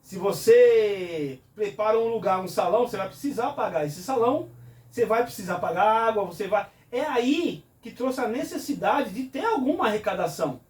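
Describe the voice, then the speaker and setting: Earnest man, high and echoey. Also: expressive, normal speed, a little mellow. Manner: earnest, explains with rhetorical questions. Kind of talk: conversational preaching in a talk show. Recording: roomy echo, from a distance.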